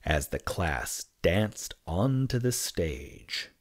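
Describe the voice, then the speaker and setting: A man reading a children's story aloud with expressive, deliberate and dramatic phrasing. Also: sits low. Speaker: storybook reader.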